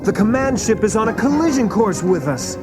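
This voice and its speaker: A man's voice: sits high, a little fast. A man acting an earnest, plucky teenage boy pilot in a storybook read-along drama. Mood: urgent. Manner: earnest, expressive. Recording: music and effects behind.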